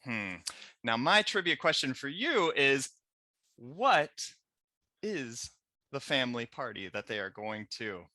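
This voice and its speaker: Male, 30s, very bright forceful voice, slow delivery, dry close headset sound, addressing children. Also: mid-range voice, very animated, dry recording. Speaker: children's book author and illustrator. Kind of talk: trivia question for children to camera. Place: small room at home.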